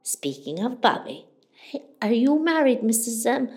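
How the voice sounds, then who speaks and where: A woman's voice: a little high, very animated, unhurried, darkish tone. An actress with a West Cork Irish accent playing a chatty, nosy, melodramatic middle-aged mother on a hospital ward in a comedy radio play. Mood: chatty, dramatic. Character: chatty, dramatic Irish mother, patient groggy after surgery.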